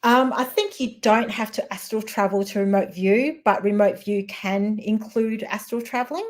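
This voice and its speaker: A woman's voice: mid-range voice, normal speed. A middle-aged woman from Singapore, a guest in a casual chat, talking hesitantly with many fillers and restarts.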